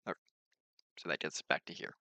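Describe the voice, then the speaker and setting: A man's voice: a little fast, sharp tone, no echo, highs cut off. A man hosting a game stream with casual, playful chat, often breaking off and restarting his sentences. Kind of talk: casual gaming livestream chat, reading game text aloud.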